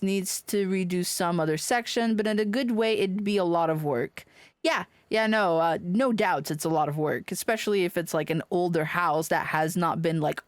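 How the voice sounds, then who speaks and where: A woman livestreamer chatting casually as she plays a video game, expressive and playful, given to exclamations. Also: fairly low pitch, no echo. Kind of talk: casual live gameplay chat and commentary. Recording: game music behind the voice. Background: video game music.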